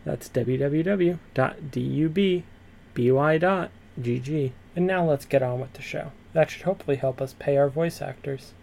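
A man's voice: fairly high pitch, moderate pace, vocal fry, darkish tone. A man reading a scripted promo announcement for a show.

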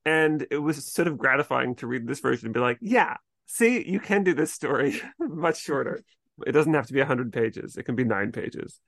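A man's voice: mid-range voice, expressive, moderate pace, gravelly, a bit bright, no room sound, clean studio sound. A man from New England with an American accent, hosting a casual discussion in an easygoing, reflective way. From New England, United States. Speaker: podcast host. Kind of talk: casual chat.

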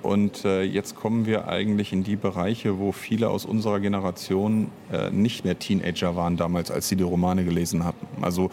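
A man's voice: sits low, level tone, a little fast, creaky, hardly any pauses, darkish tone. A middle-aged man in a casual interview, thinking aloud and often breaking off to rephrase. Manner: thinking aloud, hesitant, self-interrupting, reflective. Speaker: audio drama writer and director being interviewed.

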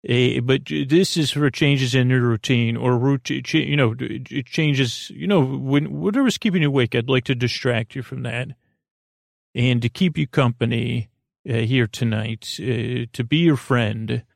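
Man, gravelly creaky voice, dry room-free sound, halting delivery, meandering goofy sleep podcast monologue. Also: medium pitch, no room sound. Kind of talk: rambling bedtime monologue.

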